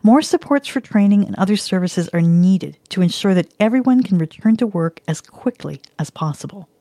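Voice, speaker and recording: Mellow-voiced female documentary narrator. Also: medium pitch, moderate pace, no room sound. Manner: calm, even, explanatory.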